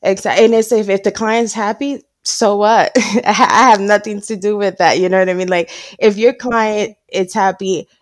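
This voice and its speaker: A woman's voice: medium pitch, steady tone, normal speed, no room sound, studio quality. A woman who works as a lash artist and trainer, chatting as a podcast guest, acting out bits of quoted dialogue and laughing often. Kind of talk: casual interview chat. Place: based in Tampa.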